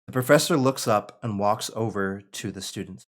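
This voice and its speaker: A man's voice: fairly low pitch, moderate pace. A man narrating stage directions at a table read in an informal, conversational way. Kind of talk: reading screenplay stage directions aloud, with casual asides.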